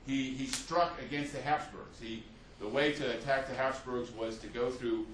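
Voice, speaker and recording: A man's voice: medium pitch, steady tone, moderate pace, fairly bright tone, slight background noise. Man lecturing in an echoey room. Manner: loose storytelling with frequent fillers and restarts. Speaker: history teacher. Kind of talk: unscripted classroom lecture. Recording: echoey room, mic at a distance.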